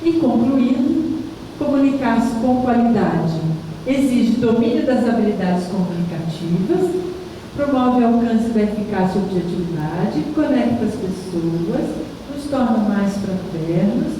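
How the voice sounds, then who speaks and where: A woman's voice: on the high side, animated, a little slow, warm tone. A Brazilian woman giving an informal educational talk, explaining conversationally with rhetorical questions and frequent checks with listeners. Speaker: lecturer. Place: large echoey indoor room. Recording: very echoey hall, distant mic, faint noise.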